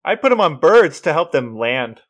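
A man co-hosting a casual podcast, telling stories with enthusiasm and asking deadpan questions. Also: on the high side, normal speed, no echo. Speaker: podcast co-host.

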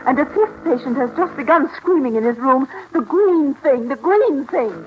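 An anxious, high-voiced nurse. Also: female voice, animated, moderate pace. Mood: distressed. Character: earnest, anxious female sanitarium nurse, alarmed. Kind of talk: vintage science fiction radio drama acting. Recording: muffled, hissy old-time radio recording.

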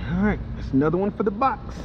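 A man with a Florida accent hosting a kayak fishing video, explaining his rig slowly on the water beneath a pier, recorded on a kayak-mounted action camera. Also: sits high. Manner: slow, explanatory, excited when fish bite. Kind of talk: casual on-the-water commentary. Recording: muffled action-cam audio with water noise.

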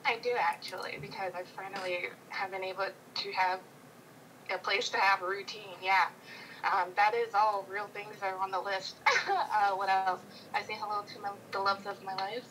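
A woman's voice: medium pitch, little pitch movement, moderate pace, a bit bright, slight background noise, low-quality recording. A woman guest on a casual video call, chatting about improv in a bubbly, playful way with frequent giggles.

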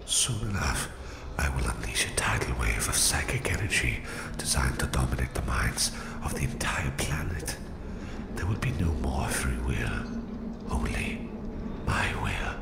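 A man playing a menacing, domineering Soviet psychic supervillain with a Russian accent in a slow, condescending ASMR roleplay, his voice whispery and gravelly. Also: extremely low pitch, very animated, echoey room, exaggerated voice. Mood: cold threat.

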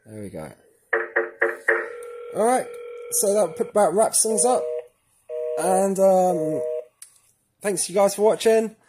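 A man's voice: sits high, very animated, takes it slowly, pauses often, over music. A man presenting a how-to tutorial in a casual, informal way, talking straight to viewers. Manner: informal, addresses viewers as "guys". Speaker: tutorial presenter for a tech retailer.

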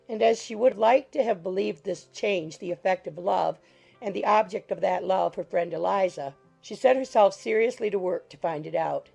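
A woman narrating a classic novel as an audiobook in a measured, formal storytelling manner. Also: mid-range voice, creaky, mellow, breathy edge. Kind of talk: scripted literary narration.

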